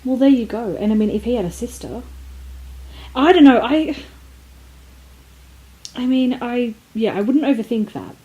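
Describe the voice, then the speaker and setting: A woman's voice: a little high, moderate pace, pauses often, warm tone, slightly rough, poor audio. A woman podcast co-host chatting casually, questioning things in a playfully skeptical, hesitant way. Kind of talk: casual chat.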